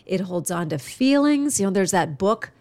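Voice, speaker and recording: A woman's voice: mid-range voice, expressive, normal speed, no echo. A woman hosting her own podcast, talking earnestly and chattily to listeners while noticeably congested. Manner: earnest, chatty, warm-hearted encouragement. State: congested, stuffy nose. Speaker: podcast host. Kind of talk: solo podcast monologue.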